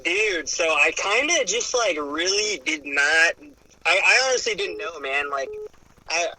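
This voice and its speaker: Late-twenties man, police officer guest; bright, slightly high, chill slangy delivery. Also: expressive, a little slow, no room sound, rough recording. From Arizona. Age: 20s. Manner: laid-back, says dude and man constantly. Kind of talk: casual chat.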